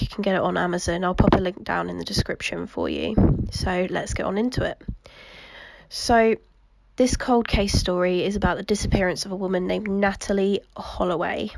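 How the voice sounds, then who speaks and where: A young woman recovering from the flu, telling a true crime story while colouring, with lots of ums and a soft, unhurried delivery. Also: medium pitch, creaky, dry recording, low-quality recording. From United Kingdom.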